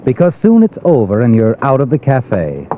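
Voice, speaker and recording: An actor playing an ominous, knowing narrator in a theatrical 1950s radio suspense drama. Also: male voice, mid-range voice, wildly swooping pitch, moderate pace, a little hiss or hum. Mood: ominous, insinuating. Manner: insinuating, theatrical. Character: ominous, knowing male narrator addressing the hero as you. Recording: tinny old radio recording. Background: dramatic music.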